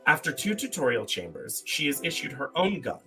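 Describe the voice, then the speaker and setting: Male live-stream game host, keen and emphatic, piercingly bright mid-range voice, light music underneath. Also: fairly high pitch, big pitch swings, brisk, poor audio. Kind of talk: casual chat and quiz hosting on a live stream. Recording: faint music behind the voice. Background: faint music.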